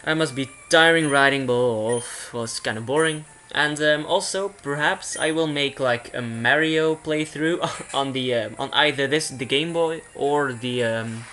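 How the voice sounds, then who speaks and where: A young man from Belgium with a bright, forward voice talks casually over a video game. He rambles and breaks off to restart his sentences. Faint music plays behind him. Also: on the high side. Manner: rambling, self-interrupting. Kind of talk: casual let's play commentary.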